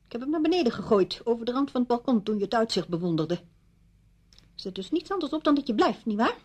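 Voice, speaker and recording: Casting: despairing widow, sardonic and cold, high husky voice, very varied intonation, radio play. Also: female voice, very animated, fairly quick, darkish tone. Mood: cold, taunting. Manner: sardonic, biting. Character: bitter, despairing widow coldly holding her visitor captive. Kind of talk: Dutch radio play acting.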